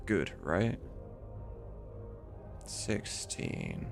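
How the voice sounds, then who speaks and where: A man's voice: low voice, big pitch swings, very slow, gravelly, over music. A man livestreaming as he works, chatting casually with viewers and muttering to himself. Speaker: livestreamer and game modder. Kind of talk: casual chat while working.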